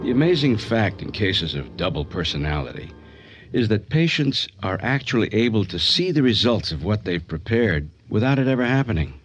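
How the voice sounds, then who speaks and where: An actor playing a sober, authoritative doctor in a radio thriller, grave and explanatory. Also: male voice, fairly low pitch, big pitch swings, moderate pace, a little hiss or hum.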